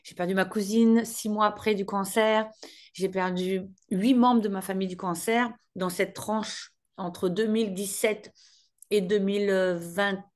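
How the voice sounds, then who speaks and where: A woman in her forties, from northern France, speaks as a guest at an online meeting. Her voice sits in a middle pitch. She is chatty and friendly, and she speaks straight to her listeners. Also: steady tone, a little slow. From northern France, Picardy. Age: 40s. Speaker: invited guest speaker, business mentor. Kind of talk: casual warm talk to an online group.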